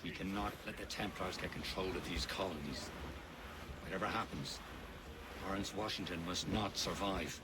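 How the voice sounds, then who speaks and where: An actor playing a stern, grave mentor in a video game cutscene, giving a measured, serious briefing. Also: male voice, sits low, lightly acted. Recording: game audio with loud sea noise.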